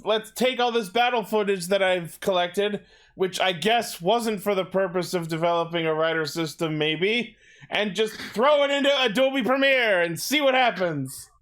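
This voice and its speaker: A man's voice: high voice, moderate pace, a bit bright. A man co-hosting a podcast, giving casual, mocking recaps with blunt, heavy swearing. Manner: blunt, heavily profane, mocking. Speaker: podcast co-host. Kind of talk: casual sarcastic recap commentary.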